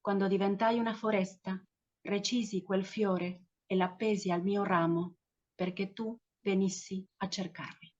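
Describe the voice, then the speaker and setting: A woman poet whose first language is Spanish reads verse and talks haltingly, restarting her sentences often. Her voice has a middle pitch and comes through a phone with dull, lo-fi call sound. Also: steady tone, a little mellow. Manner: hesitant, halting, with frequent restarts. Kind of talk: poetry reading and round-table chat.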